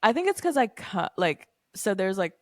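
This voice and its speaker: A woman's voice: medium pitch, very animated, normal speed, no echo, clean studio sound. A woman from Tanzania co-hosting a podcast, chatting casually, laughing easily and speaking frankly. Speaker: podcast co-host. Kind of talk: casual chat.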